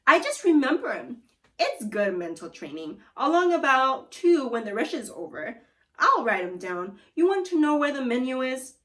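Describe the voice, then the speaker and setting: A woman voicing a quirky, brisk male diner cook, a food wizard who talks in a loud, bossy, matter-of-fact way. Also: high voice, big pitch swings, far from the mic.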